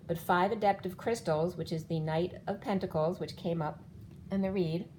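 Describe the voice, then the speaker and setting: A woman's voice: lowish voice, normal speed, a little mellow, slight background noise. A woman in her fifties giving a rambling spiritual tarot reading, walking through cards one by one while her voice keeps giving out. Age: 50s. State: losing her voice. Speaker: tarot reader.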